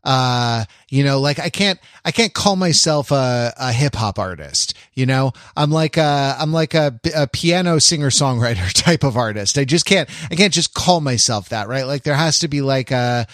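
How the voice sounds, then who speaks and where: A man with a medium-pitched voice talks as a podcast co-host in a very dry acoustic. His style is casual but intellectual. He proceeds haltingly, searching for the precise word. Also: expressive, fairly bright tone, no room sound.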